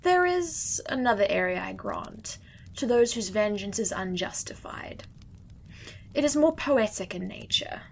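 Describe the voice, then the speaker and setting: A stately judge of the dead. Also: female voice, medium pitch, lively intonation, a little slow, creaky, a little hiss or hum. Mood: imperious. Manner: stately, theatrical pronouncements. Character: grand female judge of the dead, wry. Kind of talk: audio drama acting.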